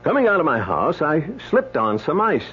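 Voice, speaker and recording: Chatty, swooping radio-drama doctor. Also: male voice, on the high side, huge pitch swings, moderate pace, a bit bright. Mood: amazed, chatty. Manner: folksy, exclamatory, storytelling. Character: blunt, chatty male doctor telling a tall tale. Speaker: actor playing a doctor. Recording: dull old radio recording, faint hum.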